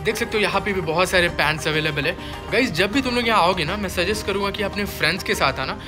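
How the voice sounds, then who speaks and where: Casting: young male vlogger, Indian accent, fairly high-pitched, casual bilingual shopping narration over clear background music. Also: quick pace. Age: young adult. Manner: mixes English words and phrases into his speech.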